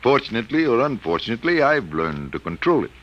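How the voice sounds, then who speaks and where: A man's voice: medium pitch, very animated, quick pace, dull audio, close to an everyday voice. An American actor playing a mild, middle-aged college professor troubled by his own power, speaking formally and hesitantly in 1950s radio drama style. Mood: earnest. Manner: formal, precise, hesitant wording.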